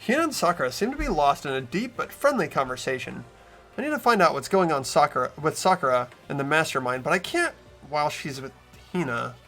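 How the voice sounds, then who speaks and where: Higher-voiced, slightly creaky man hosting a playthrough. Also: big pitch swings, brisk, pushed and bright, soft background music. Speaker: host and narrator of a game playthrough. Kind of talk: casual commentary mixed with expressive script reading.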